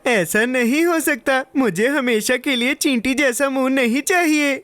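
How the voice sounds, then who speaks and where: Kids' cartoon dub: fussy, impulsive small boy, high voice, childish exclamations. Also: measured pace, fairly bright tone, dry recording, faint music behind. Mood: worried, whiny.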